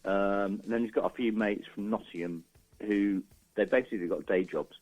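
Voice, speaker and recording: A man's voice: on the low side, moderate pace, darkish tone. A man chatting casually as an interview guest, hesitant and rambling in an informal way. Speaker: radio interview guest, musician. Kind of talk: casual chat. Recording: slightly dull, lo-fi sound.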